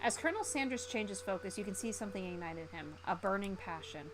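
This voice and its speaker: A woman streamer reading a visual novel aloud in a theatrical, expressive way. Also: mid-range voice, fast talker, noisy background.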